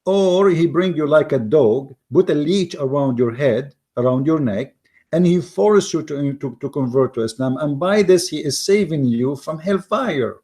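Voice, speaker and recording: A man with an Arabic accent, his voice sitting a little high for a man, speaks in the mocking, emphatic tone of an online commentator. He hammers points by repeating words and often stumbles and restarts his non-native English. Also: animated, normal speed, warm tone. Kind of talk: argumentative commentary reading from an article.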